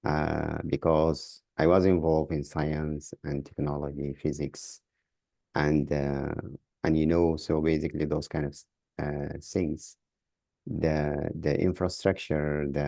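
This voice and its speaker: A man from Sudan with a Sudanese accent, answering as a podcast guest in a halting way, repeating words as he searches for phrasing. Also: rumbling low, fairly even intonation, creaky, warm tone, dry recording. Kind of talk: interview answers.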